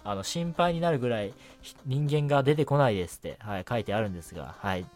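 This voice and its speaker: A man's voice: medium pitch, lively intonation, fast, darkish tone. A man hosting a night radio show, chatting casually and politely on his own, often punctuating his talk with short affirmations. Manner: chatty, polite presenter phrasing with frequent short self-affirmations. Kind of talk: casual solo radio talk about anime and manga.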